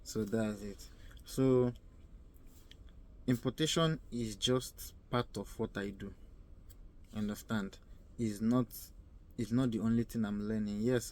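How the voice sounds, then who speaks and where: A young man from Nigeria with a Nigerian accent giving earnest advice in a casual vlog, often repeating himself and pausing to search for words. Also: lowish voice, a little mellow. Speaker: vlogger. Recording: faint ambient noise.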